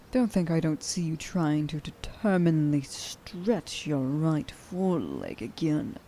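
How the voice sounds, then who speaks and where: A woman playing a stern, vengeful werewolf huntress in an ASMR roleplay, speaking to the listener with deliberate contempt and menace, often slipping into a breathy whisper. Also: low voice, dark tone, a little hiss or hum. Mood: accusing. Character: stern, vengeful female werewolf huntress, grieving a lost friend.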